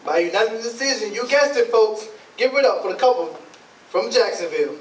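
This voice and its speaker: A man performing as a theatrical master of ceremonies calls out grandly, his high voice swinging widely. He is some way from the mic in an echoey room. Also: animated, brisk. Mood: official, showy. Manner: grand, showman-like announcing. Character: showy cakewalk master of ceremonies. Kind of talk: stage readers theatre announcing. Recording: echoey, distant mic, clear noise, clicks.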